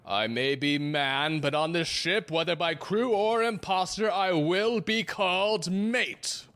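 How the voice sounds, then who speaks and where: Actor playing a pompous, menacing, slow-talking first mate. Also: medium pitch, fairly bright tone. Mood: menacing, stern. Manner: pompous, antiquated, intimidating speech. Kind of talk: theatrical audio drama acting.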